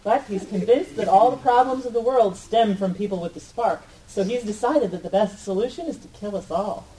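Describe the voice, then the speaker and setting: A woman's voice: mid-range voice, fairly quick, dark tone, lightly acted. A woman playing a sardonic young adventure heroine in a comedic radio play, dry and exasperated. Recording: distant live stage mic, faint noise.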